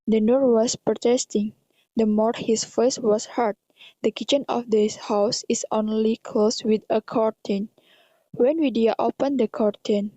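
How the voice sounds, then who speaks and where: A young woman with an Indonesian accent narrates an amateur radio drama. Her voice is fairly high and mellow, with a stilted read-aloud delivery. The recording is dull. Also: no room sound.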